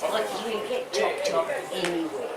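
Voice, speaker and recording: A woman's voice: on the low side, lively intonation, moderate pace. A middle-aged Australian woman giving an informal, rambling public talk with blunt asides, taking questions from the audience. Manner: chatty, rambling, blunt, with swearing and laughs. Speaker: medical cannabis advocate giving a festival talk. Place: festival talk in front of an audience. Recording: echoey, distant mic, audience crowd audible.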